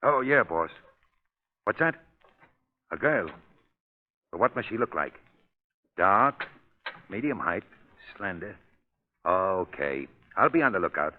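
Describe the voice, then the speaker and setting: A man's voice: low-pitched, sing-song, slow pace, long pauses, exaggerated voice. A man acting a shifty, cowardly carnival crook in a 1930s radio drama, slangy, blustering and easily rattled. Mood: businesslike. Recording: tinny, narrow-band 1930s radio recording.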